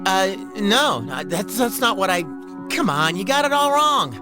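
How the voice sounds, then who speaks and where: Goofy, high-pitched teenage boy. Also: sing-song, a little slow, over music. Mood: flustered. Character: goofy, tactless teenage boy, joker of the group. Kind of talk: video game voice acting.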